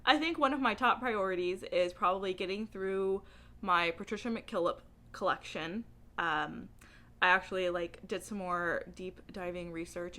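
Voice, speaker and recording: A woman talking in a casual, chatty book vlog style, with a giggly, animated and informal, rambling manner. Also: medium pitch. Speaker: book reviewer vlogger.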